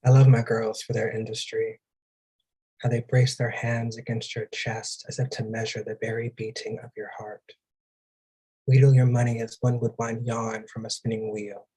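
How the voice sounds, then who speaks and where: Male poetry reader, soft dark tone, raspy edge, dramatic phrasing. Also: mid-range voice, little pitch movement, moderate pace, low-quality recording.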